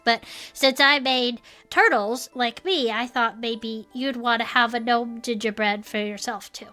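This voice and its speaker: A woman playing a sweet, earnest, cheerful turtle who co-hosts a comedic podcast and chats gently. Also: fairly high pitch. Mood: sweet, generous. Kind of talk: improvised comedic fiction podcast.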